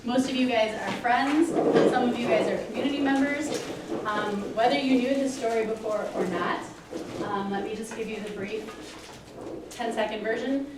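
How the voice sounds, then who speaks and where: A woman's voice: on the high side, moderate pace. A woman with a big teacher voice, explaining the plan to a crowd of volunteers. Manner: loud teacher-style projecting voice. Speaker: mother organizing volunteers. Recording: echoey hall from far off with background noise.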